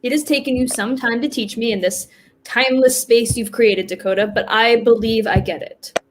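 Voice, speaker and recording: A woman's voice: on the high side, fairly even intonation, normal speed, a little hiss or hum, close to an everyday voice. A woman actor in a staged play reading, playing Death as an exasperated, reluctant office worker with an emphatic, conversational delivery. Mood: earnest. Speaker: actor in a community theater reading.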